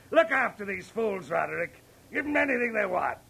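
An actor playing a gleeful, cruel old millionaire prankster in 1940s radio style, raspy, mocking and cackling. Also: male voice, high voice, big pitch swings, moderate pace, sharp tone, heavily acted. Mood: manic, taunting. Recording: old radio drama recording.

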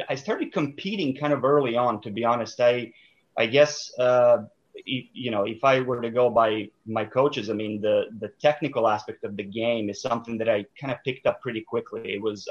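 A man's voice: mid-range voice, highs cut off. A young man from Serbia, a guest in an interview, answering thoughtfully with many fillers and restarts.

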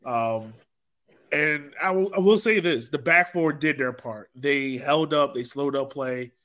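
A man's voice: on the high side, unhurried, pushed and bright. A man hosting a sports podcast, talking casually through soccer match analysis in a conversational way.

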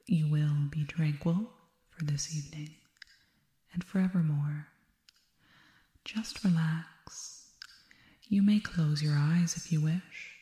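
Woman as a slow, whispering, serene alien hypnotist. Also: low voice, reverberant. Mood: soothing, reassuring. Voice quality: soft, breathy whisper. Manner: hushed, slow, deliberate, repeating soothing words. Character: serene female alien hypnotist, soothing and otherworldly. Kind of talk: ASMR roleplay, whispered hypnosis.

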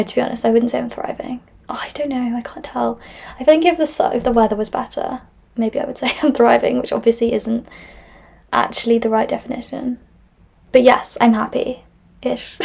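A woman's voice: fairly high pitch, steady tone, normal speed, vocal fry, long pauses, a little mellow, slightly rough. A woman, hosting a podcast in casual solo chat, rambling with many fillers and frequent laughs. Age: 20s. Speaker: podcast host. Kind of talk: casual solo chat answering listener questions.

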